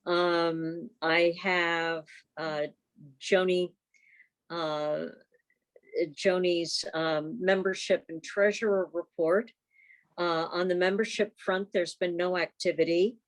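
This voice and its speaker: A woman chairing an informal club meeting on a video call, talking and reading reports in a halting, conversational way. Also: fairly low pitch, fairly even intonation, low-quality recording.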